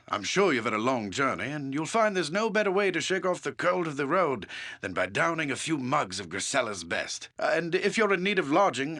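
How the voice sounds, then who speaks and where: A seasoned fantasy game adventurer who leads his town. His delivery is extremely animated, with big swings in pitch and a bright, forceful edge. He speaks in formal, old-fashioned phrases, commanding yet amiable. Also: heavily acted. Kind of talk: fantasy video game character dialogue.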